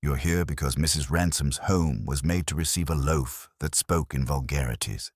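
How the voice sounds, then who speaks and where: Man playing imperious, very deep-voiced detective. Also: normal speed, husky, dry recording, clean studio sound. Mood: stern, formal. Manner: formal, pronouncing, stern. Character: imperious, erudite male detective, coolly disdainful.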